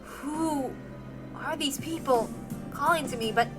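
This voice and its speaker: A woman voicing a troubled amnesiac in halting, broken phrases, in pain and confused by foreign memories. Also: high voice, music in the background, voice pushed up. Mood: anguished, confused. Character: troubled amnesiac Toya, anguished by splitting headache and foreign memories.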